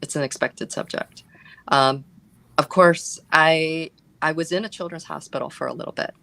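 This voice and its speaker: A woman's voice: sits low, steady tone, moderate pace, creaky, sharp tone, no room sound. A woman chaplain and pastor answering interview questions over a video call, thoughtful, with frequent fillers. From born in South Korea, raised in Chicago. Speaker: guest, hospice chaplain and pastor.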